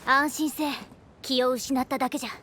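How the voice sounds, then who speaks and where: A woman's voice: high voice, level tone, a little fast, pushed and bright, a little hiss or hum. A woman voicing a small, self-assured female mentor creature in an anime game, playful and breezy, with archaic old-sage phrasing. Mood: breezy, reassuring.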